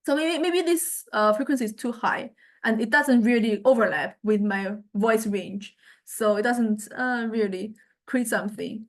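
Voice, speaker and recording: A woman lecturer giving an informal software demonstration, hesitantly thinking aloud as she goes. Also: fairly high pitch, a little slow. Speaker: music technology lecturer.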